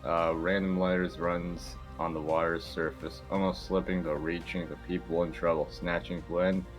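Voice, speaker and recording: A man's voice: low-pitched, little pitch movement, moderate pace, faint music behind. A man reading stage directions as narrator in an informal amateur script reading.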